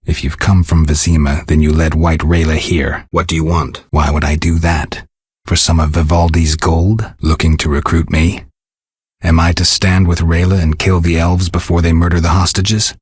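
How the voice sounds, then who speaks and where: A stoic mercenary monster hunter from a fantasy video game, with an extremely low, heavily rasping voice. He is terse and sardonic, delivering everything in a dry deadpan. The sound is close and dry. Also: moderate pace, no echo. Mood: gruff, guarded. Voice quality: gravelly, husky rasp. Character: stoic, sardonic monster-hunting mercenary warrior, gruff adult man.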